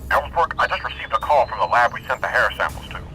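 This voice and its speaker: A man playing a brisk, authoritative police sergeant in an amateur radio drama, forceful and businesslike. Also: mid-range voice, lively intonation, soft background music, low-quality recording. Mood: brisk, urgent.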